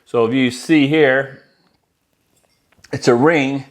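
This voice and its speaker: A man's voice: mid-range voice, fairly even intonation, slow pace. An American man in his forties giving a casual, conversational product walkthrough to camera as an installer who addresses his viewers directly. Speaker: audio-video installer presenting products. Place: indoor room with decorated wall, at a worktable.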